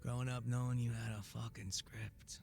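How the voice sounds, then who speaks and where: Man playing gravelly, sardonic ex-gang boss. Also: medium pitch, flat delivery, moderate pace, a little mellow, natural voice. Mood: jaded, candid. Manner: teasing, casual, profane. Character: sardonic, laid-back former male gang boss. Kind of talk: English video game cutscene acting. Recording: music under the dialogue.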